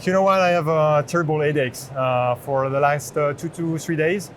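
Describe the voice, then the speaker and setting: Higher-voiced Frenchman at a noisy expo. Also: moderate pace. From France. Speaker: chief product officer demonstrating a product. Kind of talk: unscripted product demo. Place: open booth in large indoor exhibition hall. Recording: noisy trade show hall background.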